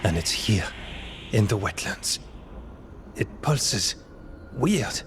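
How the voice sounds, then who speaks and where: An actor playing a gentle, earnest warden in a video game, with a halting, thoughtful delivery. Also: male voice, fairly low pitch, very animated, background noise. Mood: uneasy, brooding. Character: gentle, earnest male warden, uneasily attuned to eerie evil. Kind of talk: dramatic video game acting.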